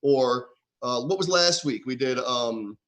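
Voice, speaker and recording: A man from New York co-hosting a comedy podcast over a remote call, delivering a mock-serious parody lesson with a teasing, deadpan manner. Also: medium pitch, unhurried. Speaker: podcast co-host. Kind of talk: mock-serious comic segment, casual chat.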